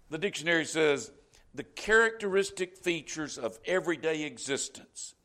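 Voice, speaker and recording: Male pastor, Southern US accent, middle-aged, slightly higher voice, folksy conversational sermon delivery. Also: a bit bright. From Florida, US. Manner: conversational preaching with frequent "you know".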